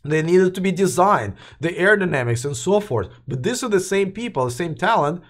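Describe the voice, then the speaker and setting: Male live-stream host, voice fading hoarse, chatty and rambling, talks to his chat. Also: sits high, animated, brisk, fairly bright tone. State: losing his voice, coughing. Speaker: host of a live electric car news show. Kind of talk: casual live-stream commentary.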